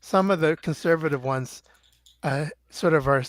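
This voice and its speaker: An older man, a doctor guest, answering in a measured, halting way with many fillers. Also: a little high, no room sound. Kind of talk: interview answers.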